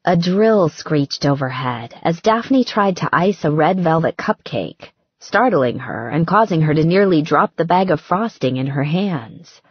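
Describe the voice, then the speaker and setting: A woman reading a novel aloud as an audiobook narrator, expressive and scripted. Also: sits low, a little slow, few pauses, husky, no room sound, lo-fi sound.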